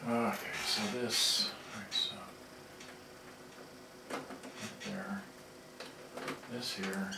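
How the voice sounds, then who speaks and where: A man's voice: lowish voice, level tone, very slow, vocal fry, long pauses, very noisy. A man giving an unscripted hands-on product demo as a repairer, rambling in fragments while he handles the gear. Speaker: cassette player repairer demonstrating serviced units. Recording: roomy, distant mic with loud music. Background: music from cassette players.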